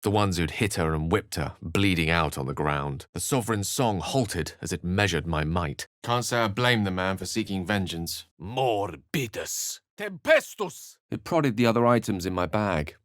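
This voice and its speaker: An actor playing a learned, courteous and wry wizard with a touch of melancholy, speaking in articulate, theatrical phrases for a video game. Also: male voice, low voice, animated, moderate pace, hardly any pauses, no echo, clean studio sound. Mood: grave, wry, then incantatory. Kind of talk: video game character lines and narration.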